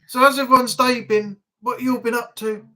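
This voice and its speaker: Woman, livestream host, casual rambling chat, slightly high voice. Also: steady tone, a little fast, pushed and bright. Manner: chatty, rambling, with fillers. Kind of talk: casual live chat to viewers.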